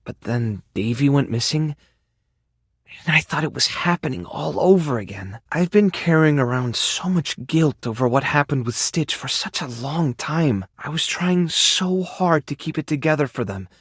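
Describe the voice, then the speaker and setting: A male actor in a dating video game playing an earnest, tender, awkward keeper of an animal rescue, sincere and prone to halting rambles. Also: on the high side, expressive, a bit bright, husky, no echo, clean studio sound. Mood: grieving, guilty. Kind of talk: video game character voice acting, dating simulator.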